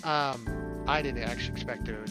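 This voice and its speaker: A man's voice: medium pitch, moderate pace. A man reading a visual novel aloud as a let's player, dropping joking, offhand asides over music. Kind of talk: gaming commentary mixed with reading narration aloud. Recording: music under the voice. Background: game soundtrack music.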